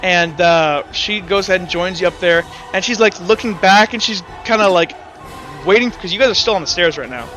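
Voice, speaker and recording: A man acting as game master in a tabletop roleplaying game, narrating off the cuff and calling for rolls in a halting, think-aloud way. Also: sits high, sharp tone, soft background music, low-quality recording.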